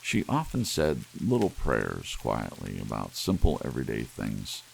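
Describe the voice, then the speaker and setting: A man narrating an audiobook story in a soft ASMR bedtime style. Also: a bass voice, a little slow, vocal fry, low-quality recording. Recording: audible hiss under steady rain sounds. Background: soft rain.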